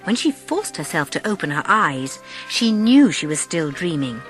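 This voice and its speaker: A woman narrating a dramatised storybook as an audiobook, with expressive, measured phrasing. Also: on the low side, faint music behind.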